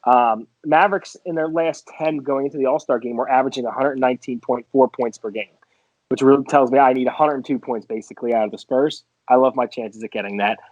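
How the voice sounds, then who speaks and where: A man co-hosting a casual sports betting podcast, talking picks in a chatty, animated way. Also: medium pitch, fairly quick, no room sound. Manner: chatty, animated, laughing banter. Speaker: podcast co-host, sports bettor. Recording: dry, dull lo-fi podcast audio.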